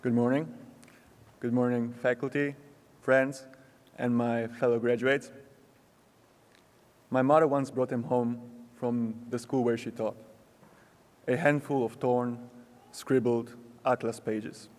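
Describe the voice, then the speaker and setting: A young man giving a prepared graduation speech, slow and reflective with measured pauses, in a large ceremony hall. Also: medium pitch, little pitch movement, darkish tone. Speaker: student graduation speaker. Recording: long hall reverb.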